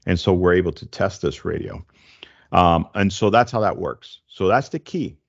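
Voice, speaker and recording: A man in his forties hosting a casual tech explainer, speaking in a halting, stop-and-start way into a close desk microphone. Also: low voice, gravelly, lo-fi sound. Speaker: tech show host. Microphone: desk condenser microphone, close, with headset.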